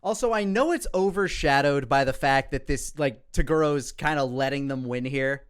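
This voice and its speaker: A man's voice: mid-range voice, moderate pace, smooth. A man giving casual commentary on his own work, rambling, candid and sweary. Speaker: creator commenting on own parody series.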